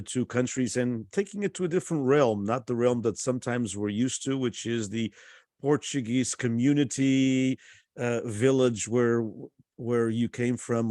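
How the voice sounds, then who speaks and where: A man hosting a conference session over a video call, introducing a guest speaker in a halting way with many uhs. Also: medium pitch, a little mellow, no echo, clean studio sound. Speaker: conference host.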